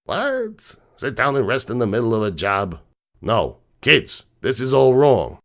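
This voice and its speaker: A man playing a gruff, streetwise crook horse uncle who tells bedtime stories in a slangy, cynical, digressing way. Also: mid-range voice, very animated, measured pace, a bit bright. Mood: critical, lecturing. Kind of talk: dramatic reading of a comic bedtime story. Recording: music bed behind the voice.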